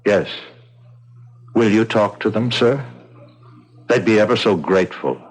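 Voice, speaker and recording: A man playing a courteous, probing official in a radio drama, speaking with formal, measured politeness. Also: fairly low pitch, expressive, slightly rough. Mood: polite, persuasive. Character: courteous, probing male official of a secretive membership.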